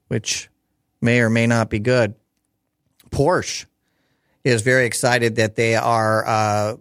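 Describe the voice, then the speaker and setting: A man hosting a call-in radio show, talking casually with lots of fillers and restarts, his voice hoarse. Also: mid-range voice, fairly bright tone, dry recording, studio quality. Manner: rambling, chatty, many fillers and restarts.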